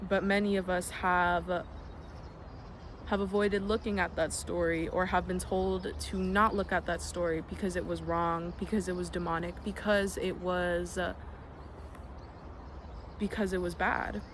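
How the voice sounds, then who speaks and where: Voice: mid-range voice, flat delivery, unhurried. A young adult vlogger from the United States, speaking in an earnest, reflective way with frequent ums and some casual swearing. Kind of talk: reflective personal vlog. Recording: noisy background with birds and passing vehicles.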